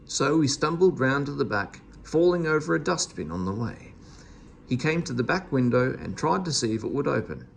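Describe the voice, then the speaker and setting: A man's voice: medium pitch, sing-song, normal speed, creaky, a little hiss or hum. A man reading a children's storybook aloud as a narrator. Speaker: storybook reader.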